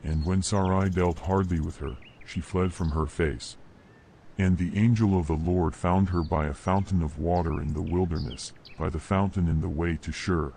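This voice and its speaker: A man reads scripture as a narrator in a solemn, measured, formal manner. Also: sits low, fairly even intonation, gravelly, mellow, a little hiss or hum. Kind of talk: solemn scripted Bible reading. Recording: nature ambience behind voice. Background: birdsong and nature sounds.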